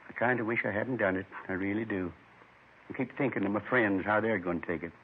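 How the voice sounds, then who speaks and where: A resigned old fellow in his seventies, caught and full of regret. His voice is husky and halting, plain-spoken and meek, on a muffled old radio drama recording. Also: on the low side. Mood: worried, regretful. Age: 70s. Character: weary, regretful old man under arrest, meek and resigned. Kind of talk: 1950s radio drama acting.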